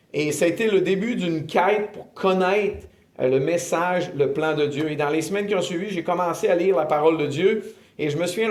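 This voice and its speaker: Québécois guy in his 50s telling his faith story, lots of euhs, slightly high voice, echoey room. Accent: Québécois French. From Quebec, Canada. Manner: earnest, hesitant with frequent filler sounds. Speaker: ministry director giving testimony. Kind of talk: personal faith testimony, interviewed. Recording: roomy echo.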